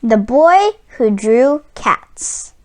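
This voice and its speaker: A woman reading a children's story aloud as a storyteller, with an expressive, deliberate storybook delivery. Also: fairly high pitch.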